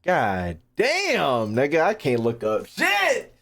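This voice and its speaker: Animated, profane man streaming game reactions. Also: fairly high pitch, slow pace. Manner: profane, slangy, repeats phrases while thinking aloud. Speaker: gaming streamer. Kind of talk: casual reaction commentary to a live chat.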